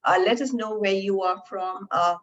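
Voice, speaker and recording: A woman moderating and opening a professional webinar, her voice lowered from a recent flu, speaking in a friendly way with frequent "uh" fillers. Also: fairly even intonation, moderate pace, fairly bright tone, muffled recording. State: recovering from flu, deeper voice.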